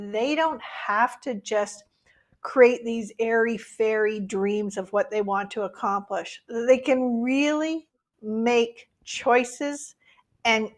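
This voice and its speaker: A woman with a somewhat high voice hosts a conversational interview. She talks at a very slow, unhurried pace as she puts points to her guest. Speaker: talk show host.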